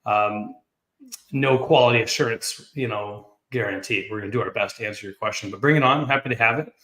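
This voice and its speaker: A man's voice: fairly low pitch, a little fast, pushed and bright, echoey room, low-quality recording. A man in his late thirties hosting a show over a video call, joking and upbeat. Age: 30s. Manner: jokey, upbeat, self-deprecating. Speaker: host. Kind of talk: casual video-call chat.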